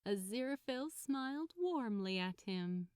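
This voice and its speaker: A woman reading a fan fiction story aloud as an expressive narrator. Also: a little high, unhurried, clear, resonant tone, no echo, clean studio sound. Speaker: podfic narrator.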